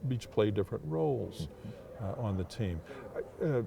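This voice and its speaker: An older man, a public address announcer, reflecting in an interview in a deliberate, hesitant way with formal wording. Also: on the low side, animated, creaky, noisy background.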